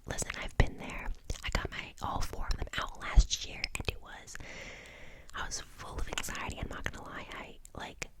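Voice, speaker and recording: A woman's voice: normal speed, rough recording. A woman in her twenties from the United States, whispering an ASMR question-and-answer session in a chatty way with lots of "um" and "like". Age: 20s. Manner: soft unvoiced whisper, chatty with many fillers. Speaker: ASMR channel host.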